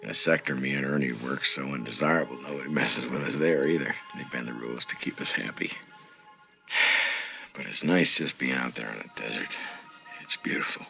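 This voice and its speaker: An actor playing a jaded border patrol agent in a film, talking in a dry, world-weary way. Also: male voice, very deep voice, a little fast, creaky, pushed and bright, soft background music, exaggerated voice, rough recording. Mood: reflective. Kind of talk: film dialogue.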